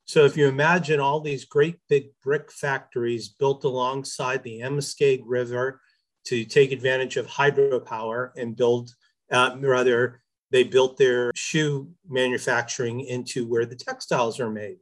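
Guy from new hampshire on a podcast, mid pitch, casually telling stories. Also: steady tone, a little slow. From New Hampshire, United States. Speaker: podcast guest, business ethics expert. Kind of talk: casual anecdotal storytelling.

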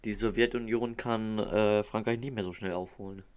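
A man's voice: on the low side, fairly even intonation, normal speed, darkish tone. A man from Hamburg co-hosting a podcast in easygoing, casual chat. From Hamburg, northern Germany. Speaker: podcast co-host.

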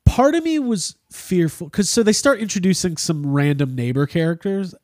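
A man's voice: high-pitched, animated, moderate pace, darkish tone, no echo, clean studio sound. A man hosting a casual podcast chat about a film, rambling and jokey, swearing freely. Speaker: podcast host.

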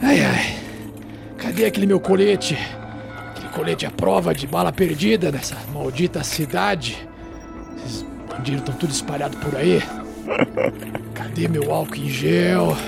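A man's voice: a little high, lively intonation, a little slow, vocal fry, long pauses, over music, heavily acted. A man voicing a small, eccentric, paranoid germophobe hacker who mutters theatrically to himself in a tabletop game. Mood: grumpy, fussy. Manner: theatrical muttering to himself, grumbling, self-satisfied.

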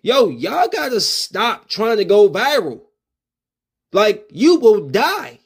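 A man's voice: high-pitched, sing-song, takes it slowly, breathy edge. A man hosting a solo opinion podcast, talking in loose slang and acting out street confrontations in a raised voice. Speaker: podcast host.

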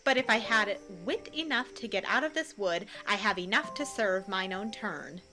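A woman's voice: medium pitch, normal speed, sharp tone, soft background music, natural voice. A woman playing a boastful, stubborn male weaver with theatrical declamation in a Shakespearean audio drama. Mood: bemused.

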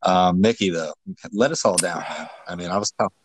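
A man's voice: deep voice, a little fast, a little hiss or hum, rough recording. A man co-hosting a casual sports podcast, playful and jokey, with a hype delivery. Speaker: podcast co-host.

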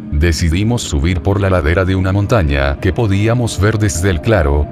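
Male horror narrator, low voice, scripted first-person storytelling with clear background music. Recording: music bed under the voice.

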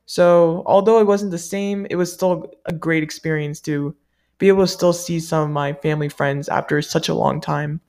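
A woman from the US, a native Malayalam speaker, asking interview questions in a polite, measured, rehearsed way. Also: low voice, fairly even intonation, darkish tone. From United States. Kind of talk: scripted-sounding interview questions.

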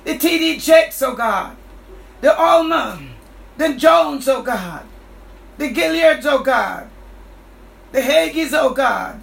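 A man's voice: extremely high pitch, lively intonation, takes it slowly, creaky, long pauses, fairly bright tone. A man preacher praying fervently in a charismatic style, crying out and punctuating phrases with repeated interjections. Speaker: preacher leading prayer. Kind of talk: fervent charismatic prayer with speaking in tongues. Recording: echoey room sound.

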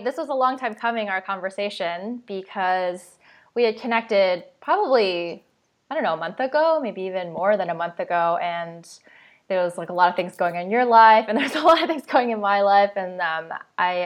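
Chatty woman podcast host, feeling sick. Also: medium pitch. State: under the weather, sick. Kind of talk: podcast interview.

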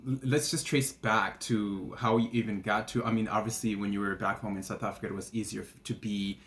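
A man hosting a podcast, asking questions in a relaxed, hesitant, casual way. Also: on the low side, a little fast. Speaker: podcast host. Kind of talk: casual interview.

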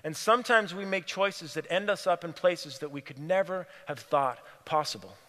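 Earnest preacher in a reverberant hall. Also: male voice, on the high side, moderate pace, a bit bright. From Cameroon. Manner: earnest, repeats phrases for emphasis. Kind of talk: sermon.

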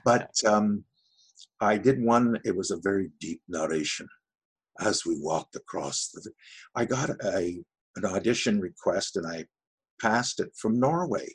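A man's voice: deep voice, lively intonation, measured pace, husky. A man with an Ottawa Valley Canadian accent, a voice actor chatting as a guest in an interview, telling stories. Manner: chatty, storytelling. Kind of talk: casual interview chat.

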